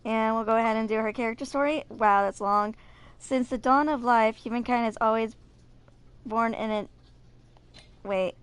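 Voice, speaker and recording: A woman's voice: a little high, fairly even intonation, moderate pace, pauses often, clear, resonant tone. A woman in her twenties hosting a casual gaming video, chatting and reading game lore aloud, sometimes stumbling and correcting herself. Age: 20s.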